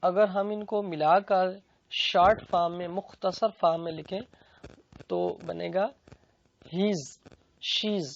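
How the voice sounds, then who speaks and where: Gravelly, unhurried grammar teacher with Pakistani accent. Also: mid-range voice, steady tone, a little hiss or hum. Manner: methodical, repeats and translates each phrase. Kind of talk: explanatory grammar lesson, bilingual with translated examples. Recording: dull, lo-fi recording.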